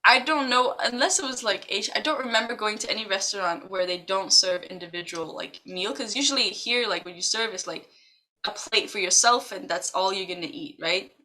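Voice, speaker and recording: A young woman from Macau co-hosts a casual podcast, rambling through her stories and giggling often. Her voice is bright and forward, with a crisp edge. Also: medium pitch, brisk, poor audio. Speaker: podcast co-host. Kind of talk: casual chat.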